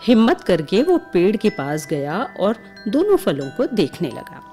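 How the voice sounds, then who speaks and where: A woman's voice: mid-range voice, wildly swooping pitch, a little slow, dark tone. A woman narrating a scripted bedtime story for children as the storyteller. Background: music with chimes.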